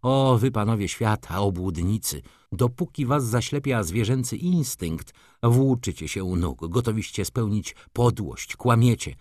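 A man voicing an imperious, flirtatious society lady from a novel, commanding and theatrical, quick to scornful indignation. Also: on the low side, animated, darkish tone, dry recording, clean studio sound, pitched above the performer's own voice. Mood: indignant, scornful.

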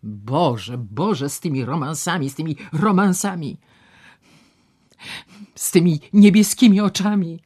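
A woman voicing a blustering, pompous male doctor who talks loudly and with exasperation. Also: medium pitch, expressive, slow pace, pitched above the performer's own voice. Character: blustering loud middle-aged male doctor.

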